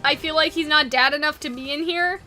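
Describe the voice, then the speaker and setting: A woman hosting a casual brainstorming chat, animated and rambling, with a playfully dismissive way of talking. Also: high voice, fairly quick, over a noisy crowd. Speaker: video host.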